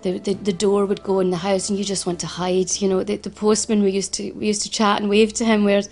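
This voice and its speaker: A woman's voice: mid-range voice, level tone, brisk, darkish tone, soft background music. A woman in her forties with a Glasgow accent, speaking earnestly in an interview and often restarting her sentences. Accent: Scottish, Glasgow. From Scotland. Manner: earnest, frequent restarts and repetitions. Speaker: founder of a financial advice service. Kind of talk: personal interview testimony.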